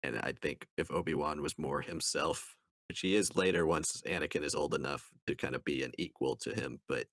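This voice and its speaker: Really deep voiced guy on a podcast, casual, thinks as he talks, dry sound. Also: a little fast, fairly bright tone, no room sound. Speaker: podcast co-host reviewing books. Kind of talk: casual discussion.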